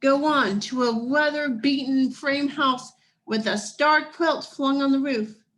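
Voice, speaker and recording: A woman voicing a solemn, motherly ghostly guide in a children's storybook, giving measured, urgent instructions. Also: high-pitched, fairly bright tone, pitched above the performer's own voice. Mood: urgent guiding.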